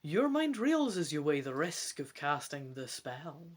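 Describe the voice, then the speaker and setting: Expressive woman reading aloud, swooping pitch. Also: measured pace. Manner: expressive, playful storytelling. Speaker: host of a gamebook let's play. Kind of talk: dramatic reading aloud with casual asides.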